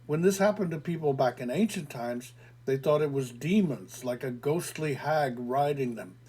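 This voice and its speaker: A man reading an audio story, voicing a calm, skeptical grown man who patiently explains things away in a soothing, lecturing way. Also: mid-range voice, moderate pace, breathy edge. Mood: patiently explaining.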